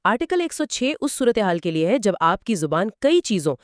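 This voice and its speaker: A woman with a mid-pitched voice co-hosts a scripted explainer. She sounds inquisitive, with highly varied intonation, and the recording is dry and close. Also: big pitch swings, moderate pace, no echo. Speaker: co-presenter asking and summarizing. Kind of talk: scripted two-person explainer dialogue.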